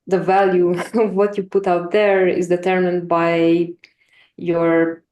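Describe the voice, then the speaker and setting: Earnest woman, mellow voice, echoey room. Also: lowish voice, level tone, slow pace. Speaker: researcher, guest speaker. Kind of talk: reflective conversational answer. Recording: roomy, echoey sound.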